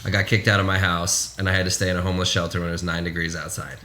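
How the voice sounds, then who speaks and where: A man's voice: low voice, little pitch movement, rapid-fire, sharp tone, slight background noise. A man in his late twenties co-hosting a podcast, blunt and joking, swearing freely in casual chat. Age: 20s. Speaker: podcast co-host.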